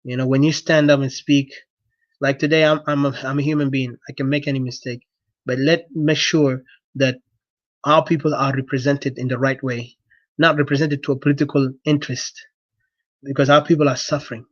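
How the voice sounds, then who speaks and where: A man's voice: mid-range voice, flat delivery, a little fast, no echo, lo-fi sound. A man from South Sudan with a South Sudanese accent, a non-native English speaker giving an earnest, exhorting political address off the cuff, often repeating himself. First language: not English. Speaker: activist speaker addressing supporters. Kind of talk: impassioned political address, unscripted.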